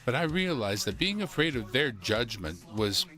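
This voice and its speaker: Man as bright-voiced, very animated earnest teen friend. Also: medium pitch, normal speed, soft background music. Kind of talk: dramatic script reading.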